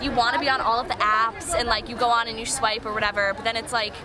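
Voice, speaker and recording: A woman's voice: a little high, fairly even intonation, quick pace. A young woman from upstate New York talking emphatically and casually in a street interview. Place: outdoor food festival in a city. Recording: loud crowd with music behind. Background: festival crowd and music.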